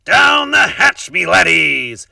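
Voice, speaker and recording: A boisterous pirate captain, voiced by a man reading a storybook aloud, bellows every line in pirate talk. His voice is gravelly and pushed up high. The sound is dry and comes from a little way off the mic. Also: big pitch swings, measured pace, pushed and bright, no room sound, recorded from a distance, full character voice. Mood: bellowing. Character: loud gruff male pirate captain, boisterous.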